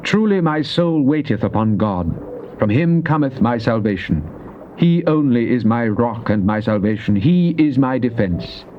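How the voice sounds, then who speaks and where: An actor playing a devout, fervent psalmist, declaiming in a solemn, measured way in a dramatized scripture reading. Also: male voice, medium pitch, expressive, lightly acted. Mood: solemn, stern. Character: devout psalmist, fervent man praying to God. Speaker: scripture reader. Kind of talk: dramatized King James Bible reading. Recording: muffled old cassette with faint hiss.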